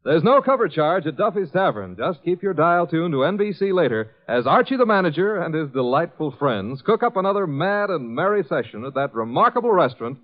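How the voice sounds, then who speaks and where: A man's voice: fairly high pitch, very animated, a little fast, few pauses. A man with an American accent announcing formally in the polished style of 1950s network radio. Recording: dull vintage radio recording with music.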